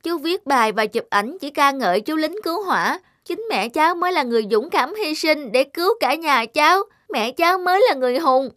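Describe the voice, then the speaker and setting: A woman voicing a character in an animated moral story. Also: high-pitched, fairly quick, hardly any pauses, no echo, clean studio sound. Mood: angry, hurt. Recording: music behind the voice.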